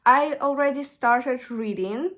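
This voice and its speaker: A young woman with a Russian accent, chatting casually in a vlog and often pausing to search for English words. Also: sits high, fairly bright tone. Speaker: vlogger. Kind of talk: casual chat.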